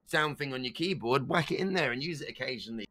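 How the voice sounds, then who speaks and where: A man who stutters, chatting casually as a streamer guest on a podcast and breaking into loud meme voices now and then. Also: medium pitch, expressive, fast.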